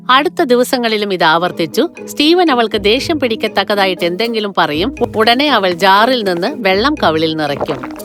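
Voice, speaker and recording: A woman narrator reading a scripted fairy tale for a children's cartoon. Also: mid-range voice.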